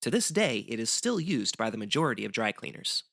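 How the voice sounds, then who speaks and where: Bright, animated man explaining history. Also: mid-range voice, fairly quick, vocal fry. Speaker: guest explaining history facts. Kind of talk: semi-scripted educational banter.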